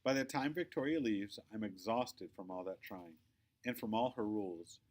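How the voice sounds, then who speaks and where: A man reading a children's story aloud with expressive, dramatic phrasing. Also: fairly low pitch, normal speed. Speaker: audiobook-style reader.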